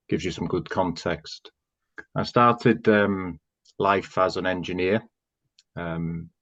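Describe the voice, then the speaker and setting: A man from North Wales whose first language is Welsh, a chief executive telling his career story as a podcast guest, relaxed with frequent ums. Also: deep voice, lo-fi sound. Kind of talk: casual interview answer.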